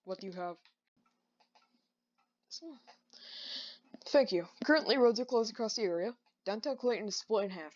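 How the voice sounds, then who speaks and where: A woman playing a local TV news reporter covering a disaster in an informal, halting mock live report, on a dull recording. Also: medium pitch, expressive, natural voice. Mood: flustered reporting.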